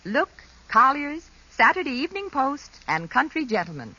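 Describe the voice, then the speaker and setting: A woman giving a polished, measured sales pitch as a 1940s radio advertisement spokeswoman. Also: big pitch swings, heavy background noise. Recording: muffled vintage radio recording.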